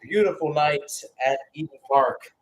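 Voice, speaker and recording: A man's voice: fairly high pitch, measured pace, poor audio. A man giving live radio-style play-by-play commentary on a football match, announcer-like and speaking in short phrases.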